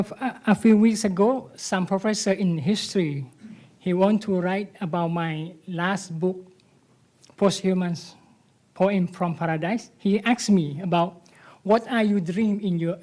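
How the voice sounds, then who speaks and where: Slow, halting man. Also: sits high, dark tone. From Thailand. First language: Malay. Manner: halting, broken English with many restarts. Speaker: panelist writer. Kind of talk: panel discussion.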